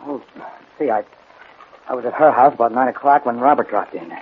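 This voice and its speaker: An actor playing a defensive young American fiancé under suspicion, clipped and testy, in a 1940s radio drama. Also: male voice, mid-range voice, fairly quick, natural voice. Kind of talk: 1940s radio detective acting. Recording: muffled noisy 1940s radio broadcast.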